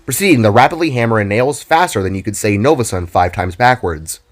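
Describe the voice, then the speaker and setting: A man's voice: fairly low pitch, brisk. A man narrating a scripted dramatic story in a theatrical, storybook manner. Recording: music under the voice. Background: background music.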